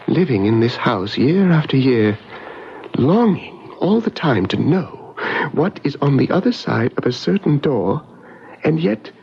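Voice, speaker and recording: A man playing a nervous, reclusive English gentleman, halting, formal and fretful, in old-fashioned radio mystery acting. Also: a little high, creaky, slightly rough, heavily acted. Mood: brooding. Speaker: radio drama actor. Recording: muffled vintage radio recording.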